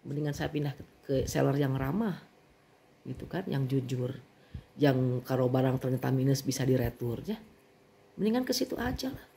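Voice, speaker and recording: Woman, middle-aged, Sundanese accent, indignant, animated, venting vlog monologue. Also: rumbling low, moderate pace, vocal fry, a little hiss or hum. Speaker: vlogger.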